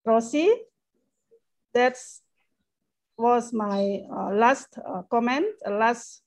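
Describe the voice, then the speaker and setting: A woman's voice: a little high, very slow. A woman church leader from Indonesia, reading a formal statement on a video call, with hesitant fillers when she speaks off script. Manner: reads prepared statement, filler-heavy when improvising. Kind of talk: formal conference presentation.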